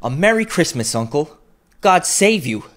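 A man playing a cheerful, higher-pitched young nephew. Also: very animated, a little fast, lightly acted. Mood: gaily cheerful. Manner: bright, earnest, pleading. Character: cheerful, warm-hearted young nephew, male. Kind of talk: dramatised audiobook reading.

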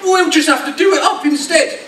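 A man's voice: extremely high pitch, a little fast, pushed and bright. A man playing an exasperated builder, the straight man of a pantomime double act, projecting to the audience. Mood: bossy, incredulous. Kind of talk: pantomime cross-talk comedy. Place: theatre stage. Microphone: distant camera in audience. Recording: echoey hall from far off, noisy audience.

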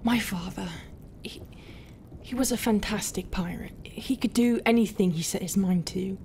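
A woman acts out a tentacled pirate captain in an audio roleplay, proud and brooding. Her medium-pitched voice is slow and guarded, often sinking into a hushed half-whisper, over faint background ambience. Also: slight background noise. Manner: hushed, often breathy half-whisper, sighing, hesitant pauses. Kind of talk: roleplay ASMR acting.

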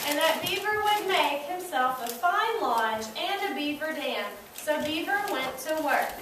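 A woman narrating a children's story in a deliberate way, repeating words for emphasis. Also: high voice. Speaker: narrator of a school puppet show, prompting child puppeteers. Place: classroom, recorded from the audience side. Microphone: distant camera microphone. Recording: echoey room, far mic, noisy.

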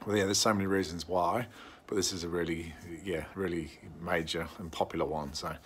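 A man in his forties with a low-pitched voice talks casually to his phone as a working plumber. He explains things step by step in an informal, plain-spoken way. The outdoor recording carries faint background noise. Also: fairly even intonation, a little fast. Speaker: plumber presenting a how-to video. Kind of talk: casual explanatory vlog to camera. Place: outdoors in a residential yard by a brick wall. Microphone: phone at arm's length.

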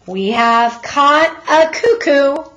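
A woman's voice: sits high, expressive, drawn-out words, a bit bright, highs cut off, a little hiss or hum, voice pushed up, exaggerated voice. A woman voicing a brash, mocking policeman in a storybook, blunt and emphatic.

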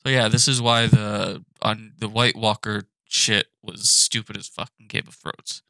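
A young man ranting profanely and with exasperation from his apartment, now and then breaking into shouts. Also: lowish voice, flat delivery, measured pace, creaky, low-quality recording. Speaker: animated channel host. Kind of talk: ranting commentary.

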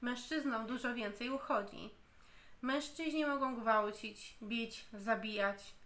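A woman in her thirties giving a spoken book review at home into a camera, slow and deliberate, often pausing and restarting. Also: fairly high pitch, fairly bright tone. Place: home room, indoors.

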